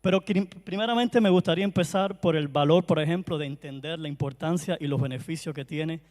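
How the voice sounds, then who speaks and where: A man with a Cuban Spanish accent gives a talk in a large hall where his voice rings with long reverb. His voice sits somewhat high for a man. He talks in a chatty, friendly way, often pausing and restarting his phrases. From Cuba. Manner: warm, chatty, frequent hesitations and restarts. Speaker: conference speaker. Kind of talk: conference talk. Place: conference hall.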